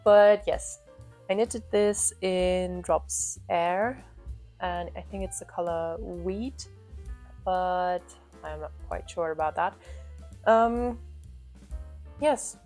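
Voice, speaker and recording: Lady chatting about her knitting, slow and soft, a bit apologetic, low-ish voice. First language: German. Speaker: knitting podcast host. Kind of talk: casual chat to camera.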